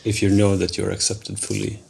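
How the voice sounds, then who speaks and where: A man interviewing in a reflective, casual conversation, gentle and a little hesitant as he sums up what he hears. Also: fairly low pitch, monotone, a little fast, creaky. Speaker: podcast interviewer.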